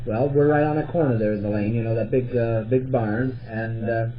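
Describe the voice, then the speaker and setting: A man's voice: medium pitch, fairly even intonation, brisk, background noise. Hesitant man on a muffled old tape. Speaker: interviewer, park visitor center worker. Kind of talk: casual interview chat.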